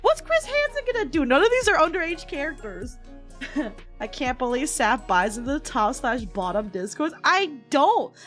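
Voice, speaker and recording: A woman's voice: sits high, fairly bright tone. A woman livestreamer chatting casually and playfully with her viewers in a giggly, bantering way. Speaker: VTuber livestreamer.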